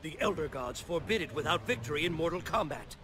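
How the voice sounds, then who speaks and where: An actor playing a solemn thunder god, desperate to protect Earth, in a formal, declamatory video game style. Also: male voice, fairly high pitch, lively intonation, quick pace, background noise, close to an everyday voice. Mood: urgent, commanding. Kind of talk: dramatic video game cutscene acting. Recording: game cutscene with music underneath.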